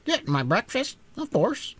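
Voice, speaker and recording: A man voicing a chatty, self-assured talking hen in a storybook reading, matter-of-fact and quick to retort. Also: very high voice, big pitch swings, fairly bright tone, slightly rough, voice pushed up, heavily acted. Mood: easygoing. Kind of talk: storybook character voice in audiobook reading.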